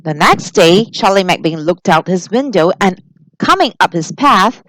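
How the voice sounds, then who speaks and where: A woman reading a children's story aloud in an expressive, deliberate storytelling manner. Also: on the low side, no room sound, rough recording. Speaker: storybook reader.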